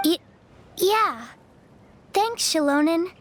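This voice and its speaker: A tiny, very high voice of a frightened young girl for a video game. She speaks slowly and haltingly, with lively swings of pitch, and music plays behind her. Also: exaggerated voice. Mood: timid. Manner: hesitant, halting delivery. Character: small frightened young girl, sweet and timid.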